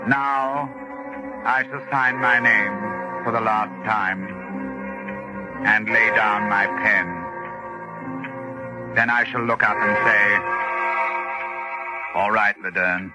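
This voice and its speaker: A man's voice: fairly low pitch, steady tone, slow, pauses often. An actor playing an educated, brooding doctor who faces his killer, speaking formally and earnestly in 1940s radio suspense style. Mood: grave, resigned. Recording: vintage radio drama with music behind.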